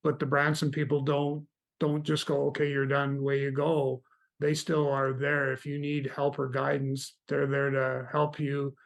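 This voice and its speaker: A man from Canada, a guest in an interview, telling stories about mentoring in a relaxed, meandering way. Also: on the high side, creaky. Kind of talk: casual interview chat.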